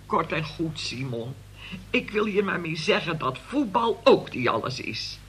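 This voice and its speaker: A middle-aged, long-suffering mother in a radio drama. Her voice is bright and forward, and she tells her tales in a solemn, pious, long-winded way. There is faint noise on the recording. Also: low-pitched, sing-song, a little slow, full character voice. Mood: solemn, forgiving. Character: forgiving, long-suffering mother recounting family disaster to son. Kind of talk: radio play acting.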